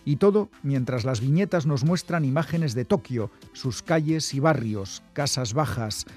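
A man's voice: medium pitch, animated, a little slow, darkish tone. A man reading a scripted review as a book critic, with faint instrumental music behind him.

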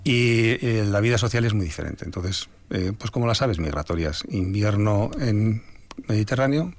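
An older Spanish man, an author being interviewed, talking in a reflective, measured way with some hesitations. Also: fairly low pitch, lively intonation, a little hiss or hum. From Spain. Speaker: author guest. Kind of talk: radio interview.

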